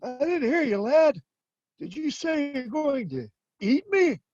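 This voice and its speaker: Woman playing a shaky old shoemaker guy, high and wobbly, slow, kinda dark, dull dry sound. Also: big pitch swings, no room sound. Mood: nervous. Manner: quavering, fussy and hard of hearing. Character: frail old man, a nervous stringy shoemaker. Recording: dull dry stage recording.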